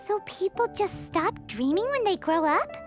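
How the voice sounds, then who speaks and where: A woman voicing a tiny excitable female companion creature who speaks of herself in the third person, in game dialogue over music. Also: moderate pace. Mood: curious. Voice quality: high childlike chirpy voice. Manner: talks about herself in third person, exclaiming.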